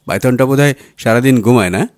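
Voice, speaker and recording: A man's voice: mid-range voice, quick pace, no room sound, studio quality, close to an everyday voice. A man voicing a busy businessman husband in an audiobook story, breezy, dismissive and patronising. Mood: breezily dismissive. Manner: breezy, patronising, listing advice. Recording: music under the voice.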